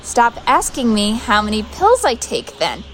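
A woman's voice: fairly high pitch, huge pitch swings, unhurried, a bit bright, slight background noise, heavily acted. A woman acting in an audio drama as an edgy, defensive young woman who leans on pills. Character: edgy, defensive young pill-dependent woman with bleak thoughts.

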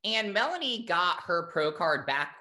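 A fitness coach talking in a casual interview, animated and chatty, with lots of fillers. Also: medium pitch, measured pace.

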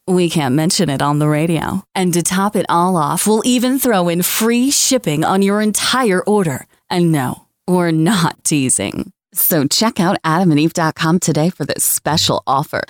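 A woman's voice: fairly low pitch, no echo, studio quality. A woman announcer reading a scripted radio advertisement in a teasing, suggestive manner.

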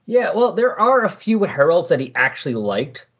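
Husky-voiced man chatting on a podcast. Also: on the high side, big pitch swings, normal speed. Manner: rambling, self-correcting recap. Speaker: podcast host. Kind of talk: casual chat. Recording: dull, lo-fi sound.

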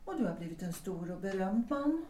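Woman playing a mellow, composed period-drama wife. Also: mid-range voice, very animated, lightly acted. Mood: gentle, probing. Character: composed, gently probing married woman in 1906 drama. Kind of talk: stage-play audio drama acting. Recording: echoey, distant, noisy room sound.

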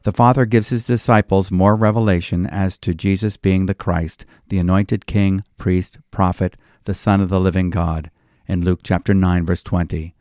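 A man speaking as a pastor, delivering scripted Bible commentary in a deliberate, preacherly manner. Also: deep voice, steady tone, darkish tone, no room sound, clean studio sound. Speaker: pastor and devotional commentary host.

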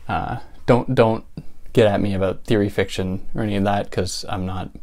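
A young man with a soothing, low-pitched voice talks through his favorite books in a relaxed, casual way. He pauses often, restarts his sentences and fills gaps with "uh" and "you know". The feel is an easygoing solo video chat. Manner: relaxed, thoughtful, many fillers and restarts. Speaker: book channel host. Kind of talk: casual vlog talk.

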